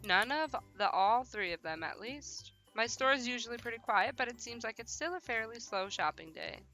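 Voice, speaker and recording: A woman hosting a livestream, switching between casual slangy chat and reading game text aloud in an animated way. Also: fairly high pitch, normal speed, faint music behind. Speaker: host of a visual novel livestream.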